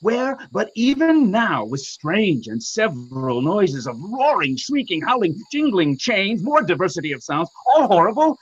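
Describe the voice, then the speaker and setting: A slightly muffled recording of a man playing an amazed, excitable ship's boatswain. His voice is husky and leaps around in pitch, halting through Shakespearean radio dialogue. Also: heavily acted. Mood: bewildered, excited. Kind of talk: Shakespearean radio play acting.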